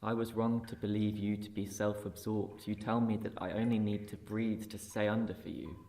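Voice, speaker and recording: A man performs spoken-word poetry in a fairly low voice. His intonation stays very flat and level through the verse. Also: moderate pace. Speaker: poetry reader.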